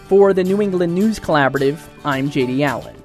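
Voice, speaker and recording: A radio reporter narrating a scripted news piece with clear, even delivery. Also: medium pitch, fairly quick, music in the background.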